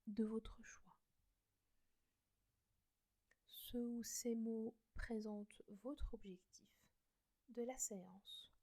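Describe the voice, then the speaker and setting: A woman in a soft breathy whisper, gently guiding a meditation close to the mic. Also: on the high side. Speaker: meditation guide.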